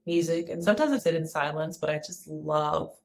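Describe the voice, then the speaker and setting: Calm low-voiced woman vlogger. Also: brisk, vocal fry. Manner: soft, calm and earnest, with restarts. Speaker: wellness vlogger. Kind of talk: casual personal vlog talk.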